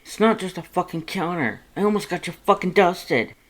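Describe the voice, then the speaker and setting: A gruff male skeleton monster from an audio story, acted out by a narrator. He snarls and swears heavily, rough on himself and everyone around him, with a tender streak underneath. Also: medium pitch, expressive, moderate pace, voice pushed up, close to an everyday voice. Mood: agitated, furious guilt.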